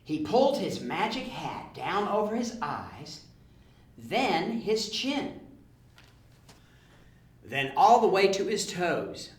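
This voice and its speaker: A woman reading a children's storybook aloud in a playful, expressive style. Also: lowish voice, slow pace, pauses often, recorded from a distance. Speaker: storybook reader. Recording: echoey room, faint noise.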